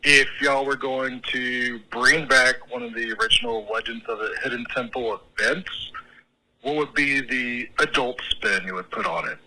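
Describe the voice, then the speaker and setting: A man's voice: mid-range voice, moderate pace, bright, forceful tone. A man leaving a friendly, casual voicemail message as a caller to a show. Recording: noisy voicemail with clicks.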